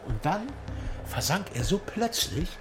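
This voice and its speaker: A woman playing a morbidly gleeful storyteller in a radio drama, teasing out phrases with drawn-out pauses. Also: lowish voice, sing-song, noisy background. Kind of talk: theatrical radio drama monologue.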